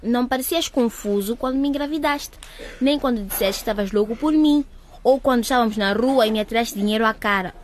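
A woman's voice: a little high, fairly quick, a little hiss or hum, lightly acted. An actress playing a hurt, defiant schoolgirl in a radio drama, speaking with emotion and reproach. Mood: hurt, angry. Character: hurt, defiant pregnant schoolgirl standing her ground.

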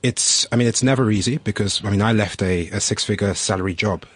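A man's voice: fairly low pitch, brisk, breathy edge, no echo. A man who grew up in the UK, speaking as an entrepreneur on a discussion panel in a thoughtful, explaining way. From United Kingdom. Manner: thoughtful, explanatory, frequent "right?" tags.

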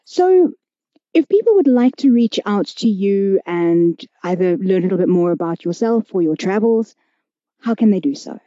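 Lady hosting a podcast, really soft dark tone, careful questions, dry dull audio. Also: mid-range voice, lively intonation, moderate pace, no room sound. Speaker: podcast host and interviewer. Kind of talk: conversational podcast interview.

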